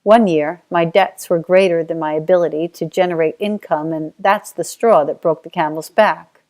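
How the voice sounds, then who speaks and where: Lower-voiced Australian woman reading her story. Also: steady tone, moderate pace, darkish tone. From Australia (Tasmania). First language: Auslan (sign language). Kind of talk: reading a personal life story.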